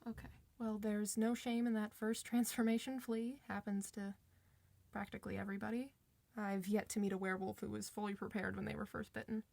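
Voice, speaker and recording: A woman's voice: medium pitch, little pitch movement, gravelly, darkish tone, no echo. A woman playing a friendly, reassuring doctor for werewolves in an informal, one-sided ASMR roleplay. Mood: calm, reassuring. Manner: reassuring, informal, hesitant pauses.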